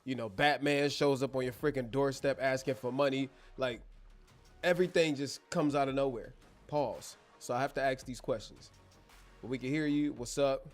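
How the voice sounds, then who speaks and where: A man in a home bedroom studio chats live with his viewers in a loose, slangy and joking way. His voice sits at an everyday middle pitch, recorded close on a clip-on mic. Faint music plays underneath. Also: fairly even intonation, moderate pace. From born in Germany, American. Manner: slangy, joking, addresses chat directly. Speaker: livestream host. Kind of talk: casual live Q&A chat. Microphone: wireless clip-on mic.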